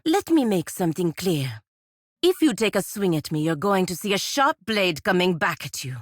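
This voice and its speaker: A proud, godlike warrior woman for a fantasy video game. Her voice sits low. She is blunt, impatient and cuttingly sardonic, recorded dry with no room sound. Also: dry recording. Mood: threatening. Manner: disdainful, sardonic, clipped and cutting. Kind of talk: video game character dialogue.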